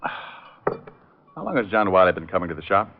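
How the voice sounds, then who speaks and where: Hardboiled private eye, low voice, lively swinging delivery, wry, flippant, muffled phone-like vintage radio sound. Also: male voice, moderate pace. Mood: flippant, casual. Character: wisecracking, breezy male private detective. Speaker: lead actor and narrator. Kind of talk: hardboiled old-time radio narration and acting. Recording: muffled, narrow vintage radio recording, music.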